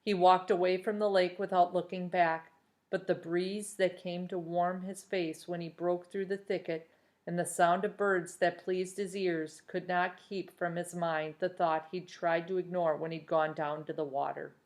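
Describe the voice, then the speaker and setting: A woman reads a children's novel aloud in an expressive storytelling style, shaping the phrases with the drama of the tale. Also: fairly low pitch, normal speed. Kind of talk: expressive storybook narration with brief asides to listeners.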